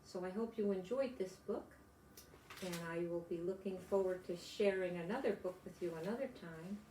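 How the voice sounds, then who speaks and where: An older woman, a grandmother storyteller, reading aloud and chatting to young children in gentle, simple phrases. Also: lowish voice, moderate pace. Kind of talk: children's read-aloud and chat to young listeners. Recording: echoey room with background noise.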